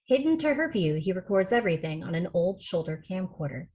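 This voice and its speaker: Lower-voiced woman narrating a script. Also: very animated, fairly quick, darkish tone. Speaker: narrator reading stage directions. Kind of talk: scripted narration at table read. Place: remote video call rehearsal.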